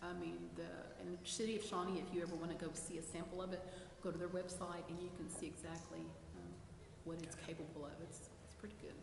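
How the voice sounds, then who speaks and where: A woman with a fairly low voice explains points at a meeting. The chamber is very echoey and clearly noisy. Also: little pitch movement, fast, few pauses. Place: council chamber.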